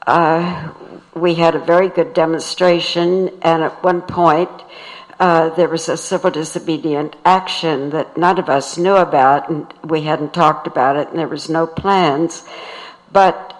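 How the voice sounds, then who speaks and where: A woman's voice: deep voice, slow pace, low-quality recording. An older woman, a peace activist, telling a plain, matter-of-fact reminiscence in a speech at a tribute. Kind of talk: plain spoken reminiscence to an audience.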